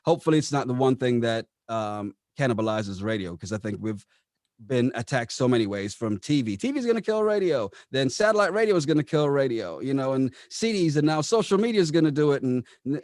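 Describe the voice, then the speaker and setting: A man's voice: medium pitch, big pitch swings, fairly quick, a little mellow, no room sound, studio quality. A man answering interview questions conversationally, explaining things and often posing rhetorical questions as he goes. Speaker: radio operations manager being interviewed.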